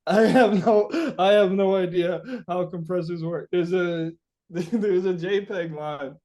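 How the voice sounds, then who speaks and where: A man's voice: high voice, fairly even intonation, a little slow, darkish tone. A man being interviewed as a musician, chatting casually and reflectively, searching for his words with informal, sweary phrasing.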